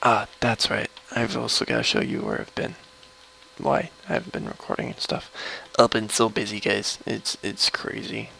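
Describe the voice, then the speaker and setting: A young man with a low voice, rambling casually through gameplay commentary at his computer. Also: bright, forceful tone, slight background noise. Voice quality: low, gravelly voice. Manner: slow, mumbly and rambling, trailing off. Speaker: gamer streaming a mining session.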